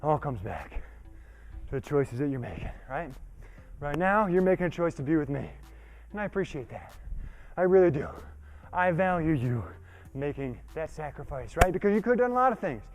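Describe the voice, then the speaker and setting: A man's voice: a little high, sing-song, normal speed, pauses often, faint music behind. A man leading a workout while giving a motivational talk, conversational and emphatic, with frequent check-ins and some breathlessness from exertion. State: exerting, getting winded. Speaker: fitness trainer leading a workout. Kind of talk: motivational talk during exercise.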